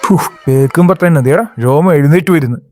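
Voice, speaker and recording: Man playing a high-voiced gullible would-be groom. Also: fast talker, a little mellow, lightly acted. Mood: pleading, excited. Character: eager, gullible young man desperate to marry. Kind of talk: comedy cartoon skit dialogue.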